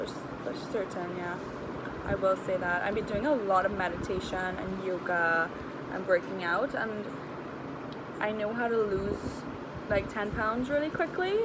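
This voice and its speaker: A woman's voice: mid-range voice, noisy background. A woman with a Trinidadian accent talking casually and candidly in a personal vlog, with informal slang, as a health coach. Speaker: health and weight-loss coach vlogger.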